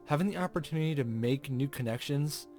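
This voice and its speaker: A man's voice: a little high, fairly quick, warm tone. A man hosting a let's play, chatting casually with rambling asides and reading game text aloud. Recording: music playing behind the voice. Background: video game background music.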